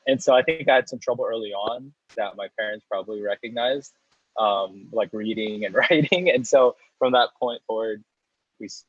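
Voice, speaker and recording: A man's voice: medium pitch, breathy edge, dry recording, lo-fi sound. A man in his thirties, a guest in a casual interview, rambling through his story with restarts and small laughs. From United States. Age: 30s. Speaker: guest, coffee roaster and business owner.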